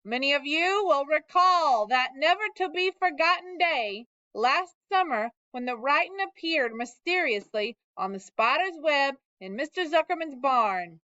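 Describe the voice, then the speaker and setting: A woman voicing a pompous fair loudspeaker announcer who booms out slow, grand announcements. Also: dry recording, full character voice. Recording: dull lo-fi dry recording.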